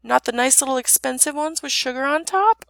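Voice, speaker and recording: A woman's voice: sits high, fairly quick, creaky, fairly bright tone, no echo, pitched above the performer's own voice. A woman reader plays a young storybook princess, sweet and tender in manner. She is timid yet brave, coaxing in a voice lifted well above ordinary talk, with a dry, close sound. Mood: tentative.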